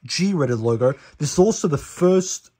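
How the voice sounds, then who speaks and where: A man's voice: fairly high pitch, expressive, measured pace, warm tone, husky. Chatty young man reviewing. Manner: fact-listing, self-correcting, chatty with fillers. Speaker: DVD collector reviewing a disc. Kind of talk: casual collector review. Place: small living room indoors. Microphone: phone camera held over the disc.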